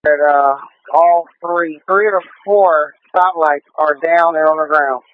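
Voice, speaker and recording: A man's voice: a little high, steady tone, unhurried. A male police officer making requests and reports over a two-way radio. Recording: tinny band-limited police scanner radio.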